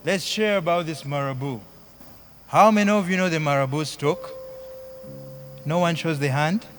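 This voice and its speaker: High-pitched Ugandan man performing spoken word. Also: moderate pace, a bit bright, a little hiss or hum. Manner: chanting, repetitive, theatrical delivery. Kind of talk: live spoken word poetry with singing.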